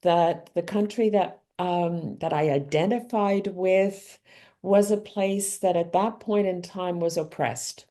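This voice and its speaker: A middle-aged woman being interviewed about her life, answering in a thoughtful, measured way with frequent ums and restarts. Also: lowish voice, fairly even intonation, warm tone. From Canada. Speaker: author and guest.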